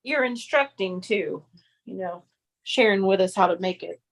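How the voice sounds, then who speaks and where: A woman's voice: medium pitch, lively intonation, normal speed. A woman in her fifties joining a sewing livestream, chatting and cheering on the host. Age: 50s. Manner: encouraging, laughs easily. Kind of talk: casual chat. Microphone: phone.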